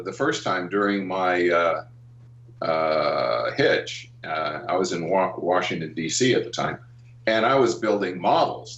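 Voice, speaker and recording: An older American man with a deep voice looks back on his working life in an interview. He talks haltingly, with pauses and ums. The sound is dull and roomy, as if he sits some way from the microphone. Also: a bit bright. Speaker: interview guest, animation artist and art director. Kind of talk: reminiscing in a casual interview. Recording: roomy, dull, distant call-like audio.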